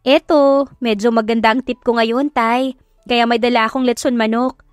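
A woman voicing a feisty young eldest sister in an audio drama, sassy, grumbling and expressive. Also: fairly high pitch, moderate pace, smooth, no echo, clean studio sound, soft background music, natural voice. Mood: cheerful.

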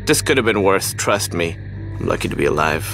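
A man's voice: low voice, animated, fairly quick, gravelly, fairly bright tone, faint music behind, exaggerated voice. An actor playing a weary, kindly, resigned male survivor in a video game. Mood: resigned, reassuring. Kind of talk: naturalistic video game acting.

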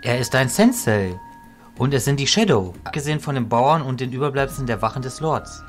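A man playing a calm, wise illusionist mentor, measured and didactic, in a fantasy audio drama. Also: mid-range voice, faint music behind, natural voice.

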